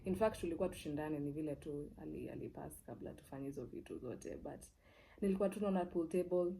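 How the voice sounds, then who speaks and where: Low, mellow-voiced young Kenyan woman storyteller. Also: fast, gravelly, slight background noise, rough recording. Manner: expressive, repeats words for emphasis, switches between English and Swahili. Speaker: TV presenter. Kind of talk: personal storytelling, casual and candid.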